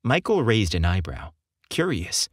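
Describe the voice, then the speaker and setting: Expressive male narrator, dry sound. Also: fairly low pitch, a little slow, no room sound. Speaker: audiobook narrator. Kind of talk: scripted story narration.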